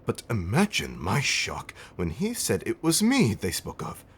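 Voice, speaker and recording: A man's voice: lowish voice, wildly swooping pitch, a little slow, slightly rough, full character voice. A man playing an affectionate, centuries-old vampire husband in an ASMR roleplay, telling stories in a theatrical, teasing, old-fashioned way with dramatic swells and whispers. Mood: fond, amused.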